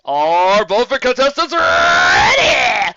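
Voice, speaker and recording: A woman's voice: high-pitched, sing-song, takes it slowly, heavy background noise, voice pushed up, heavily acted. Lady doing an old griffin announcer guy, big proclaiming delivery, storybook style, kinda dull recording. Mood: official, proclaiming. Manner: loud, declamatory ring announcer. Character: stocky old male griffin announcer, booming and official.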